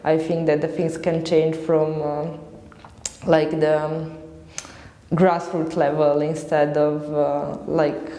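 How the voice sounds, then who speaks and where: A woman's voice: low-pitched, flat delivery, slow, warm tone. A woman of twenty-nine with a Croatian accent, giving a reflective personal account and searching for words as she goes. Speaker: interviewee giving personal testimony. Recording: echoey, reverberant room.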